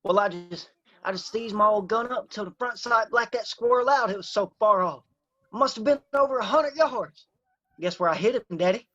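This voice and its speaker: A hot-headed hillbilly youngster in a radio play, very high-voiced and eager. He boasts breathlessly in an Appalachian accent, and the sound is slightly dull. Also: normal speed, bright, forceful tone. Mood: excited, boastful. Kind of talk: radio drama acting.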